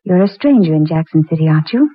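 An actress playing a prim, stern small-town librarian in a 1940s radio drama, formal and reproving, on a muffled vintage recording. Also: female voice, sits low, expressive, fast, breathy edge, no room sound. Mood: cool, polite.

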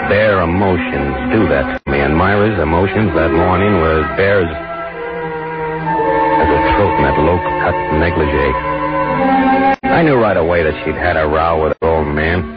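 An actor playing a nervous, hesitant American pollster in a 1940s radio noir drama. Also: male voice, very deep voice. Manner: hesitant, stammering, polite in dialogue. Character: nervous sunburned pollster, hesitant man smitten by a housewife. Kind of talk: 1940s radio noir acting, first-person narration and dialogue. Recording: muffled old 1940s radio recording with music.

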